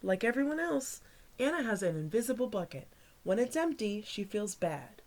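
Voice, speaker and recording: A woman voicing a wise, gently teasing old grandfather in a storybook reading. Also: medium pitch, very animated, normal speed. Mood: explaining kindly.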